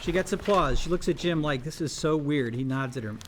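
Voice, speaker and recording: A man with a fairly high voice narrates scripted stage directions aloud. The recording carries a light layer of noise from a live room. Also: fairly quick. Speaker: stage-directions reader at a table read.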